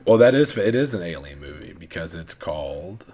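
A man's voice: deep voice, moderate pace. A man losing his voice who co-hosts a podcast with his daughter, chatting casually and ranting. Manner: animated ranting, frequent restarts. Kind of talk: casual chat.

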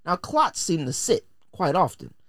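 An American man hosts a casual podcast in a very lively voice that swings up and down. He is blunt and joking, full of slang and theatrical emphasis. The sound is close and dry. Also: on the high side, unhurried, no echo. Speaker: podcast host. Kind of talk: casual opinionated podcast commentary.

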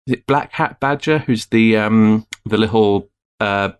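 A man's voice: fairly low pitch, unhurried, clean tone. A man co-hosting a game podcast, rambling through firm opinions in a casual chat. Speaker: podcast co-host.

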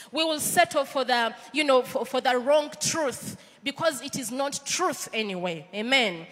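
A woman's voice: high-pitched, a bit bright, big-room sound. A woman with a Ugandan accent preaching a sermon in church, earnest and conversational, with frequent fillers and restarts.

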